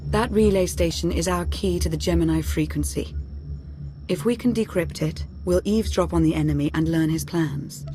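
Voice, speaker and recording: A woman playing a composed, shrewd strategic advisor in a video game, measured and dry in delivery. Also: fairly low pitch, dark tone, faint music behind, natural voice. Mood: resolute.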